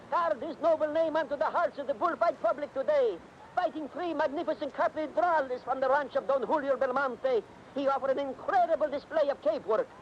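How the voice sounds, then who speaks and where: A pompous bullfight announcer, really high voice, flowery and measured, old radio drama, muffled. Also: male voice. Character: grandiloquent bullfight critic broadcasting a report. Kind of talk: florid broadcast commentary in a radio play. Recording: muffled, hissy radio broadcast.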